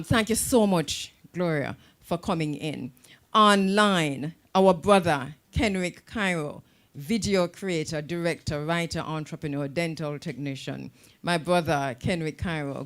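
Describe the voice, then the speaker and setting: Jamaican woman hosting a radio talk show. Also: deep voice. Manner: warm, affirming, chatty interviewer. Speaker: radio host.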